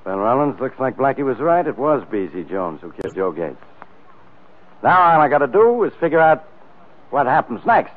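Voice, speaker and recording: An actor playing a gruff, impatient, sarcastic police inspector in a 1940s radio drama. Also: male voice, medium pitch, sing-song, moderate pace, lightly acted. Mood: businesslike. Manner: blustery, sarcastic. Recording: noisy tinny 1940s radio recording.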